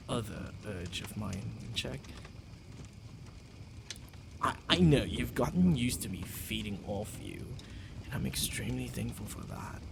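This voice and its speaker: A man playing a flustered, secretly tender vampire lord in an ASMR roleplay, with a gravelly voice and a hesitant, sighing delivery. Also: on the low side, heavy background noise. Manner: hesitant, sighing, murmuring to himself. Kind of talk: ASMR boyfriend roleplay audio.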